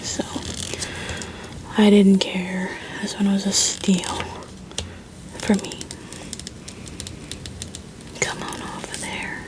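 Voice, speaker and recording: A woman whispering softly and very quietly as she chats through an ASMR video at home. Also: medium pitch, monotone. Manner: slow, unhurried chatty whispering. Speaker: ASMR creator. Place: home room. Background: crinkling of paper and book stickers.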